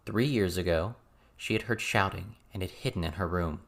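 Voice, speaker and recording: Low-voiced man narrating fiction. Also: normal speed, fairly bright tone. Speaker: audiobook narrator. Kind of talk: scripted story narration.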